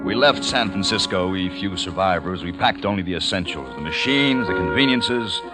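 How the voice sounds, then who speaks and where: An actor playing a weary, resolute leader of plague survivors, speaking gravely and deliberately in old-time radio style. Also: male voice, low-pitched, animated. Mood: solemn narrating. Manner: grave, deliberate, solemn delivery. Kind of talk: old-time radio drama acting and narration. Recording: vintage radio drama with music behind.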